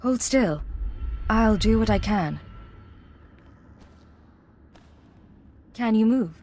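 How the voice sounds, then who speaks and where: A woman playing a composed undercover Imperial agent in a video game, measured and businesslike. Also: a little high, expressive, darkish tone, slight background noise. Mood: firm.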